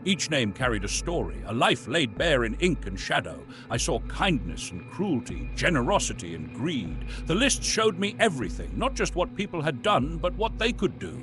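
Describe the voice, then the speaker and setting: A man playing a weary, tormented, sinister old Santa, narrating a horror confession in a brooding, deliberate, theatrical way. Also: on the high side, very animated. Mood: ominous. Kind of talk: dramatic horror monologue narration. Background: music.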